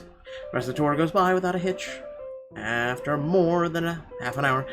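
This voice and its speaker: A man with a medium-pitched voice reads a visual novel's narration aloud in the manner of a let's player. Game music plays clearly behind him. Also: fairly bright tone.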